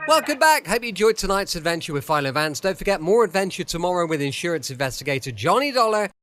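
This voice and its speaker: A man's voice: high voice, sing-song, fast talker. A man hosting a radio show, giving a scripted outro to listeners. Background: music.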